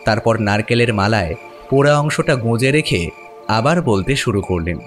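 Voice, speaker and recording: An actor narrating the frame story as one of the young listeners, in a plain, measured storybook tone. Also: male voice, medium pitch, lively intonation, faint music behind, natural voice.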